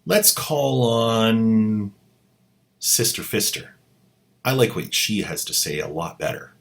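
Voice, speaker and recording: A man's voice: on the low side, very animated, takes it slowly, creaky. A man hosting a channel, giving sarcastic commentary with wry, mocking asides. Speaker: host.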